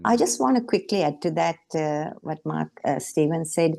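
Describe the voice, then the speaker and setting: Woman from Sri Lanka, panel guest, low-pitched, warm tone, earnest. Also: expressive, moderate pace, creaky. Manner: earnest, emphatic, with frequent fillers. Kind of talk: panel discussion.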